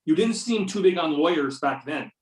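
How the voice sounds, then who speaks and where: A man's voice: on the high side, animated, moderate pace, close to an everyday voice. Man playing a sardonic FBI agent, roomy echo. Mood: needling, stern. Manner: sarcastic, pointed questioning. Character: sardonic, needling male FBI agent, confident and tough. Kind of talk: amateur readers-theater script reading. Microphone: videoconference or conference call. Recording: roomy echo, distant microphone.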